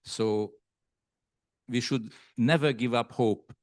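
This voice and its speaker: A man from Hungary speaking as a panelist at a conference, with a halting delivery full of hesitations. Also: mid-range voice, darkish tone, no room sound, clean studio sound. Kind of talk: panel discussion remarks. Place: conference hall.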